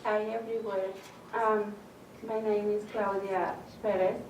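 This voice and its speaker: Woman, from Mexico, halting, flat, slow, distant echoey mic. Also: medium pitch, slight background noise. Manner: shy, halting English with fillers.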